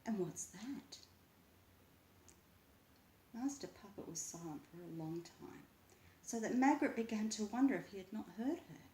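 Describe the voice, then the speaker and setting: A woman reading a children's book aloud with expressive, deliberate, dramatic narration. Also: mid-range voice, a little hiss or hum. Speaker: story reader. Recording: roomy, echoing voice.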